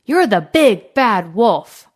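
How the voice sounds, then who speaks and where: A woman voicing a small, earnest and excitable young goat in a storybook, childlike and emphatic. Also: mid-range voice, takes it slowly. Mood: defiant.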